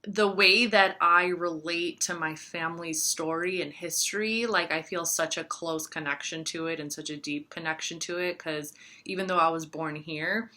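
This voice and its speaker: A woman's voice: on the low side, steady tone, moderate pace, pushed and bright. A woman in her thirties, a Serbian-speaking astrologer and tarot reader, chatting casually to camera in a rambling way with lots of fillers. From United States. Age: 30s. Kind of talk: casual talking-to-camera chat.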